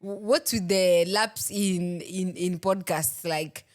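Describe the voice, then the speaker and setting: Kenyan woman co-host, lowish voice, playful and giggly, code-switching banter. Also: fairly even intonation, slow. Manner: playful, giggly, mixes English with Swahili slang. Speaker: podcast co-host. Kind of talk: casual football podcast chat.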